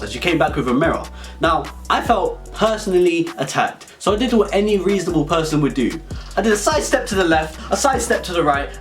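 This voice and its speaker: A man's voice: on the high side, a bit bright. A British man delivering an absurd comedic storytelling monologue in a rambling, mock-serious way. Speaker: comedy video creator. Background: background music.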